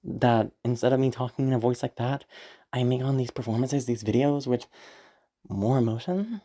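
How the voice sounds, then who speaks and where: Low-voiced woman, a bit under the weather. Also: moderate pace, dark tone. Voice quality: low, deep speaking voice. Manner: chatty, rambling, with frequent short laughs. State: a little sick, throat giving out. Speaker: audio roleplay creator. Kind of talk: casual spoken afterword, explaining.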